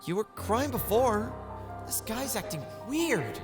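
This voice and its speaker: A man's voice: high voice, big pitch swings, takes it slowly, over music, heavily acted. A man playing an innocent, friendly small teenage boy in an audio manga, with a guileless, exclaiming delivery. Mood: puzzled, curious. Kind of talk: animated audio manga acting.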